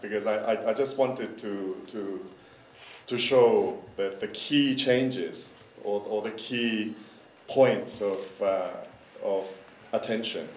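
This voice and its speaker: Slow, halting man in an echoey hall. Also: fairly low pitch. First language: Dutch. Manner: hesitant, many repeated words and fillers. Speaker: curator giving a forum presentation. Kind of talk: conference talk. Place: forum lecture hall. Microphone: handheld microphone. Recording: roomy hall sound from a distant mic, faint noise.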